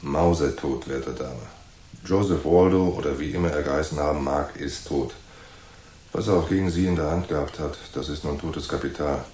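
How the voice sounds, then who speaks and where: Deep-voiced, sardonic hard-boiled private detective. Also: male voice, moderate pace, darkish tone. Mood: blunt. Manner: wry, unflappable, mock-courteous. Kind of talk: German radio crime drama, with first-person narration. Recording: roomy echo with faint noise.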